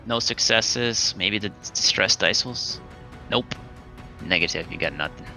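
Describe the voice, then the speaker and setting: Man, deep voice, heavy vocal fry, casual tabletop player, faint music. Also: measured pace, sharp tone, rough recording. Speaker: player in a tabletop roleplaying game. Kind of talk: casual game chat.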